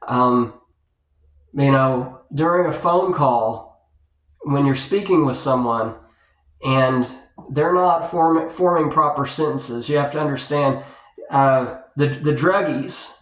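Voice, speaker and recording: A man giving an unscripted advice monologue to viewers, speaking in a halting, repetitive, lecturing way. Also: a little high, fairly bright tone. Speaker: video blogger giving dating advice. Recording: roomy, echoing sound.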